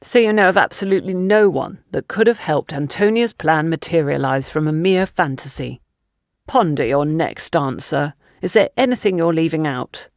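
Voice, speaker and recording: A woman's voice: low-pitched, animated, darkish tone, no room sound, studio quality. A woman voicing a stern man who questions bluntly and accusingly in an audiobook story. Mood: probing, stern. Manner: blunt, accusing, deliberate emphasis. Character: stern man interrogating and scolding a young woman. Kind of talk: audiobook character dialogue.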